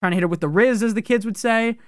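Smooth-voiced, cussing fantasy football host. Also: male voice, quick pace, no echo, studio quality. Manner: profane, joking, rambling asides; animated, pitch jumping up on key words. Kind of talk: casual podcast analysis.

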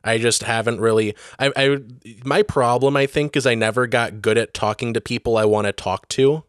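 A young man hosting a podcast, chatting casually in a calm, self-deprecating way. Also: medium pitch, normal speed, smooth, no echo, studio quality. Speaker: podcast host. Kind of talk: casual chat.

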